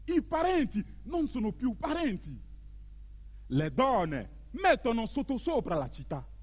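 Very high, swooping theatrical orator. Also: male voice, huge pitch swings, measured pace, husky, in a large hall, full character voice. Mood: solemn, ominous. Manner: incantatory, repeating phrases insistently. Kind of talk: declaimed radio theatre monologue.

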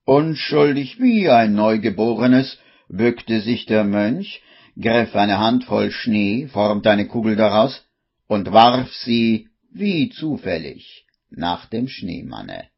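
A man's voice: fairly low pitch, lively intonation, takes it slowly, breathy edge. A man narrating a 1950s radio play as a suspenseful, theatrical storyteller. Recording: muffled vintage radio recording, music behind.

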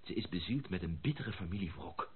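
An excitable young gentleman in a radio drama. His voice is husky and leaps up and down as he exclaims and stumbles over his words. The recording is dull and lo-fi. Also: deep voice, a little fast, exaggerated voice. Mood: agitated, troubled. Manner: effusive, exclamatory, stammering when agitated. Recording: muffled lo-fi radio play recording.

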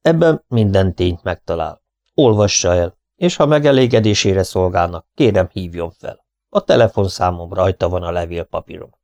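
A man voicing a calm, precise foreign detective, speaking in a measured, soothing way. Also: sits low, no room sound.

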